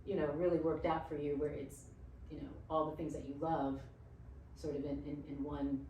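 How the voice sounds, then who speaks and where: A woman's voice: sits low, little pitch movement, moderate pace, lo-fi sound, a little hiss or hum. A woman interviewing a guest, asking long, winding questions full of fillers and easy laughter. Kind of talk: casual interview conversation. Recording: very echoey room, voice far from mic.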